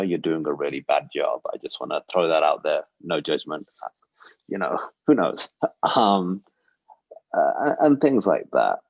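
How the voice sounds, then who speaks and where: A man's voice: low-pitched, no echo, lo-fi sound. A man in his forties giving an earnest, reflective personal talk, with frequent ums and uhs. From Britain. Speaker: guest speaker. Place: synagogue livestream.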